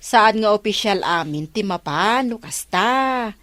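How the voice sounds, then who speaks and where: A woman's voice: medium pitch, animated, unhurried, a bit bright, no echo. A woman with an Ilocano accent playing a chatty village woman in a radio comedy drama.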